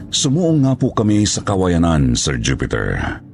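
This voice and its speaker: A man giving dramatic scripted first-person narration of a horror story in a gravelly voice. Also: low-pitched, huge pitch swings, measured pace, a little hiss or hum. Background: music.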